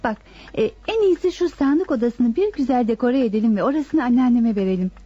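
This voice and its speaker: High-pitched, scolding young daughter. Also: female voice, lively intonation, a little fast, dark tone, husky. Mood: calculating. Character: scolding, calculating young daughter pushing her parents. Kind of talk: radio drama acting. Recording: dull lo-fi radio drama recording.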